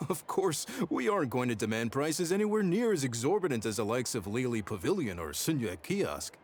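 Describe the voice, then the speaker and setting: A man's voice: mid-range voice, big pitch swings, moderate pace. An actor playing a proud, hearty restaurant chef in a video game, hospitable and theatrical like a salesman. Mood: businesslike, jovial. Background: game music.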